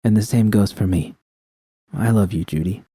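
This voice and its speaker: A man voicing a tender, plain-spoken woman in love, gentle and casual, in audiobook fiction. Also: on the low side, normal speed, slightly rough, no echo, clean studio sound.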